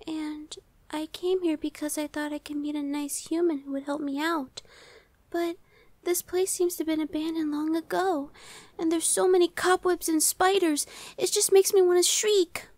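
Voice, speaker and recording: Very high-pitched timid gargoyle girl. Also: steady tone, normal speed, darkish tone, no echo. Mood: timid, anxious. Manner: hesitant, stammering, pleading. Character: timid, anxious young female gargoyle, sweet and easily frightened. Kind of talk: ASMR audio roleplay acting.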